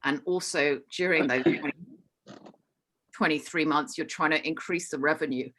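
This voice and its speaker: A woman's voice: sits low, brisk, a bit bright, poor audio. A woman with a Hong Kong accent giving an eager, businesslike conference talk over a video call, often restarting her sentences. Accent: Hong Kong English. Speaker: forum panelist.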